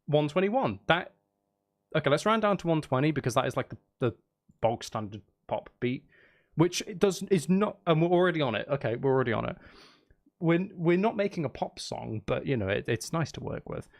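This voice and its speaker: A man's voice: on the high side, expressive, brisk, vocal fry. A man chatting casually on a live stream as he makes music, geeky and playful, thinking aloud in a rambling way.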